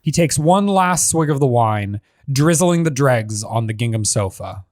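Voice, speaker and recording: A man's voice: mid-range voice, expressive, measured pace, darkish tone, no echo, clean studio sound. A man giving scripted narration, reading a screenplay's stage directions as the narrator of a table read.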